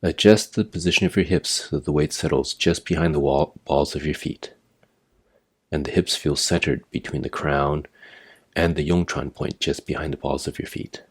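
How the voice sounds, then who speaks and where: A man with an extremely low-pitched voice leads a guided meditation. He speaks as an instructor, giving measured, step-by-step directions in a plainly instructional way. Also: steady tone, breathy edge. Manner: measured, imperative step-by-step guidance.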